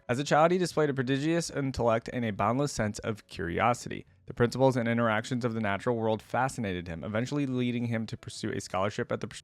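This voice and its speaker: A man's voice: medium pitch, dry recording. A man hosting a reaction video, speaking informally, reading aloud and commenting casually into a close mic. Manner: informal, drops in side comments while reading.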